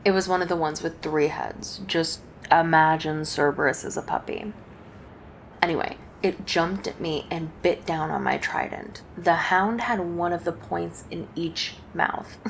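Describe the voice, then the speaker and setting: A woman voicing a friendly, playful and bashful succubus in a casual, chatty, gently teasing ASMR roleplay addressed to the listener. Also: lowish voice, unhurried, creaky, a little hiss or hum, poor audio. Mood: chatty, reminiscing.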